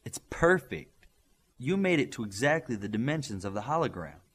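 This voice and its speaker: Casting: male narrator as businesslike woman scientist, precise and measured, varied pitch, slightly rough tone. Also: very animated, a bit bright. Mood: quietly pleased. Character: brisk, practical adult female scientist, quietly pleased.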